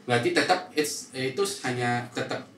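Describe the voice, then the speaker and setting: A man's voice: mid-range voice, moderate pace, a bit bright, a little hiss or hum. A man in a casual group chat, bantering and cutting in with joking remarks. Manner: joking, interjecting. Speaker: podcast panelist. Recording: echoey, distant mic, chatter behind.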